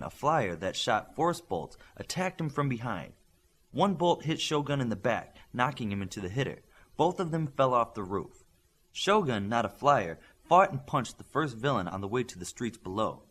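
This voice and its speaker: A man reading a novel aloud as a scripted audiobook narration, with expressive delivery. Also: medium pitch, moderate pace.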